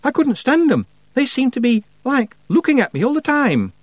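A blunt, chatty teenage witch girl who talks in an offhand way, as a male reader voices her. Her pitch sits far above his own and moves widely. The sound is dry and close. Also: big pitch swings, moderate pace, dry recording, exaggerated voice.

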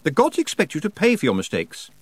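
A man voicing a bookish, proper witch schoolmistress with a careful, halting manner. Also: fairly high pitch, wildly swooping pitch, husky, no echo, voice pushed up, full character voice. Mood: hesitant, informative.

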